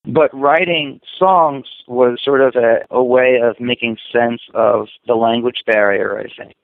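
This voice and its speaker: A man's voice: mid-range voice, fairly even intonation, a little slow, creaky, no room sound. A man whose first language is Greek, a musician guest answering interview questions in a reflective, searching way. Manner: reflective, loose and searching, with restarts. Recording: tinny phone-line sound, dry.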